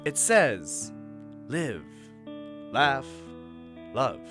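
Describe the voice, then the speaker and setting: A man playing a polite, analytical alien translator with a courteous, measured way of speaking in a radio drama. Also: medium pitch, very animated, over music. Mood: studious.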